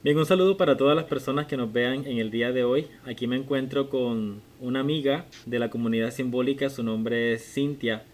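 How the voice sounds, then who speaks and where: A man in his late twenties hosting a podcast interview, asking measured questions with occasional restarts. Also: medium pitch. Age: 20s. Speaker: podcast host. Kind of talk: conversational interview.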